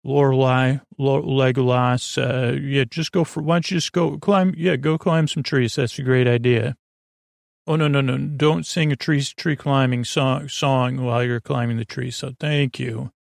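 A man hosting a bedtime podcast, drifting through meandering, digressive talk in a soft, unhurried, self-deprecating way. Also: medium pitch, steady tone, vocal fry, no room sound, clean studio sound. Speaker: podcast host.

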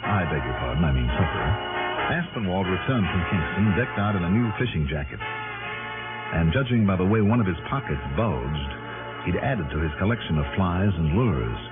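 An actor playing an easygoing, confident investigator with an American accent in a 1960s radio drama. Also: male voice, low voice, wildly swooping pitch, normal speed, loud music behind the voice. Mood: wry narration. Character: easygoing, confident male investigator narrating his case. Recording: tinny old radio recording.

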